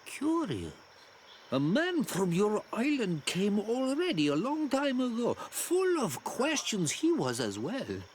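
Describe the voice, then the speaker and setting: A slow, cryptic old hermit woman. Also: fairly high pitch, huge pitch swings, a little mellow, a little hiss or hum, full character voice. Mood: curious, wary. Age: older. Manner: slow, cryptic, musing, halting. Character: enigmatic forgetful old island hermit woman of the marsh. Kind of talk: video game character acting.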